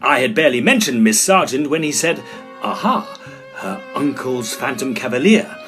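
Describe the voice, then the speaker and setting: A man playing a fussy, curious Edwardian gentleman ghost hunter in a tongue-in-cheek period audio drama, speaking in a formal, exclamatory, old-fashioned way. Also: mid-range voice, expressive, moderate pace, faint music behind, natural voice. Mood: measured storytelling.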